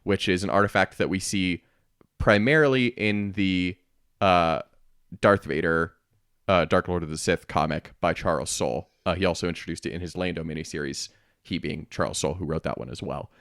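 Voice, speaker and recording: Casual podcast host: man, lower register, very dry sound. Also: normal speed, fairly bright tone, clean tone, no echo. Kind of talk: casual book discussion podcast.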